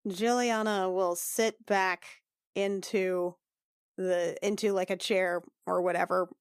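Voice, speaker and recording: A woman talking casually as a tabletop game player, slipping into roleplay in a halting, wry, sweary way. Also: fairly low pitch, fairly even intonation, clean tone, dry recording, clean studio sound.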